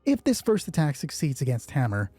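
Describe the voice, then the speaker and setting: Solo rpg game master, mellow midrange voice, sweary and excitable, talking through rolls, super dry recording. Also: medium pitch, a little fast, slightly rough, no echo, faint music behind. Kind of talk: solo tabletop roleplay, thinking aloud while rolling dice and narrating.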